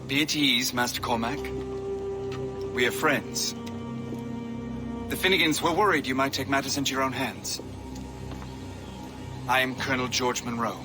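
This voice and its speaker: An actor as courteous, measured colonel. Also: male voice, mid-range voice, natural voice. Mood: reassuring. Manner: formal, measured, gentlemanly. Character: courteous, idealistic male British colonel, measured and persuasive. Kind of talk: dramatic video game cutscene acting. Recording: loud music behind.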